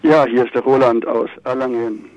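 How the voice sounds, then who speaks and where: A man calls in to a radio program, and his voice comes through as dull and dry phone-in audio. He talks calmly and candidly, with small hesitations between his thoughts. His pitch is in an ordinary middle range for a man. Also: normal speed, no echo. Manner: calm, candid, slight hesitations. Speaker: caller. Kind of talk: phone-in call.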